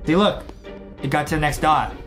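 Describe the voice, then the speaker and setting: A man in his twenties doing excitable gameplay commentary, repeating phrases and addressing the viewers as he hosts. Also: on the high side, normal speed. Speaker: gaming video host. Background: music.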